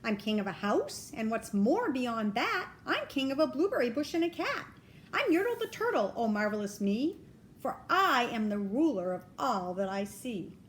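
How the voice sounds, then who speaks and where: A woman's voice: fairly high pitch, big pitch swings, normal speed, slight background noise. A woman voicing a vain, bossy turtle king from a children's storybook, with pompous, commanding exclamations. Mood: boastful. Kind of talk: children's storybook read-aloud.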